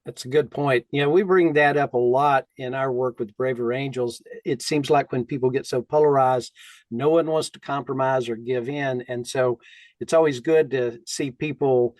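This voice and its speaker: A man with a medium-pitched voice, recorded dry with little room sound. He interviews conversationally, adding reflective, explanatory comments. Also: fairly even intonation, moderate pace, a little mellow, dry recording, poor audio. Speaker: interviewer, co-host.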